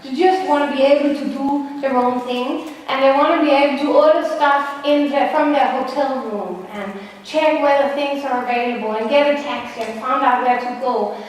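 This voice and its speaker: A woman with a high voice speaks informally to a conference, blunt and joking. She is heard from a distance in a big, very reverberant hall. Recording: echoey hall, distant, some noise.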